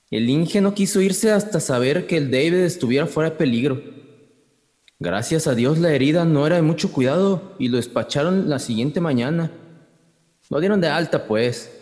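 A man's voice: fairly high pitch, darkish tone, in a large hall, lightly acted. An actor playing a folksy, jocular rural man with a northern Mexican accent and wry, slangy talk in a radio drama. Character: folksy rural man narrating his misadventure, jocular, teasing. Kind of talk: radio drama narration and dialogue.

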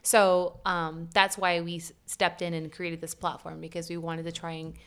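A woman's voice: fairly low pitch, completely flat delivery, normal speed, clear, resonant tone. A woman, a podcast guest and company co-founder, explaining her work in an upbeat, casual way. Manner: upbeat, explanatory, many fillers like "um" and "like". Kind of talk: casual chat.

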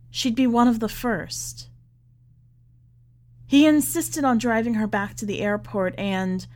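A woman reading literary fiction aloud as scripted narration, with measured, deliberate phrasing. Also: on the high side. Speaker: podcast host reading a short story.

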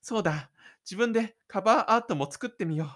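A man voicing a shy, stubborn high school boy in an audio drama, thinking aloud breathlessly and excitedly as he runs away from school. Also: quick pace. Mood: elated, defiant. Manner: breathless, exclaiming inner monologue.

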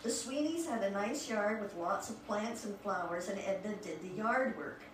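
Woman, mid-pitched voice, reading passages to a group, halting asides, heavy room echo, noisy background. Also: animated. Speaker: writers' group member reading aloud. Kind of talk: informal book discussion with read-aloud passages. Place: echoey room with a small group present. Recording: echoey room, distant mic, clear background noise.

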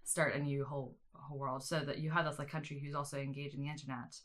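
Woman guest from South Africa, 30s, very deep voice, thoughtful and meandering, loose casual interview delivery. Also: level tone, fast, a bit bright. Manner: rambling, many restarts and ums. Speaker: guest, media studies teacher. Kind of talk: conversational interview answers.

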